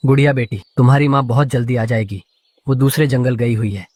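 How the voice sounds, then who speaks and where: A man voicing a gentle, caring father bird in a cartoon, with a reassuring manner. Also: medium pitch, brisk, no room sound, lightly acted. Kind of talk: animated story dialogue.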